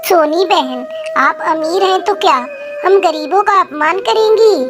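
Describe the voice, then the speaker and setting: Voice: extremely high pitch, unhurried. A woman voicing a poor, anxious mother crow in a children's cartoon, scolding and protective. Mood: pleading. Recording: music behind.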